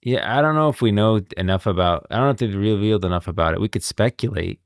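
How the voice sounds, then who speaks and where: A man's voice: lowish voice, expressive, fast talker, dry recording, clean studio sound. A man live-streaming casual commentary on a game, explaining in a conversational way and often rephrasing himself.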